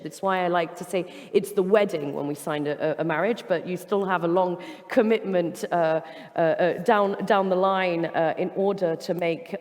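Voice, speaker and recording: Woman on a panel, lots of uhs and restarts, kinda low voice, big hall echo. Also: a little mellow. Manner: hesitant, many filler sounds and restarts. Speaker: panel speaker on trade policy. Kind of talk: panel talk.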